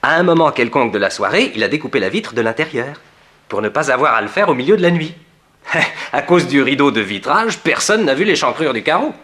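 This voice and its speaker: A bright-voiced male sidekick in a 1960s French detective serial. His pitch swings widely as he eagerly and emphatically builds his theories. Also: brisk. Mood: speculative. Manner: animated, emphatic reasoning. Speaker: actor in a detective radio serial. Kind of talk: 1960s French radio drama acting.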